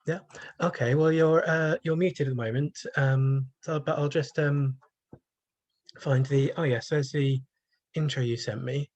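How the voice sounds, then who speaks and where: A man's voice: fairly high pitch, steady tone, measured pace, a little mellow. A man hosting a poetry showcase, speaking informally in a polite, hesitant way. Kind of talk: informal event introduction.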